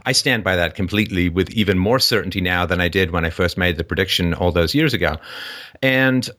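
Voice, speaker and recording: A man hosting a podcast, giving earnest conversational commentary in a deliberate, emphatic, explanatory way. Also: deep voice, pushed and bright. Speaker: podcast host.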